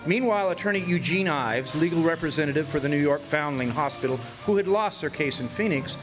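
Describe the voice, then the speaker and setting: An actor playing a weary older drifter and war veteran, narrating a radio drama in a folksy, hesitant, reflective way. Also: male voice, on the high side, animated, a little fast, over music, close to an everyday voice. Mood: reflective, matter-of-fact.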